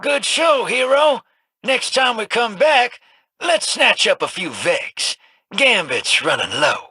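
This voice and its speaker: High, husky, wisecracking rogue. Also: male voice, sing-song, slow, sharp tone, no room sound, clean studio sound, heavily acted. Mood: upbeat, cheering. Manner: drawling, folksy, showman-like. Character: wisecracking, roguish male hustler. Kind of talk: video game character dialogue.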